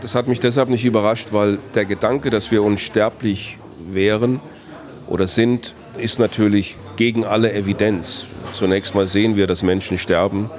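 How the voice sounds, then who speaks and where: A man, a philosophy professor answering interview questions in a measured, reflective way. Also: lowish voice, steady tone. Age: 60s. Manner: measured, reflective, builds long reasoned sentences.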